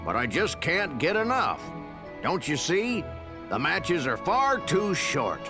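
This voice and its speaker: Eager, flamboyant game fanboy, high and swooping, gushing delivery, music behind. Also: male voice, sing-song, takes it slowly, heavily acted. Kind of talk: video game cutscene acting.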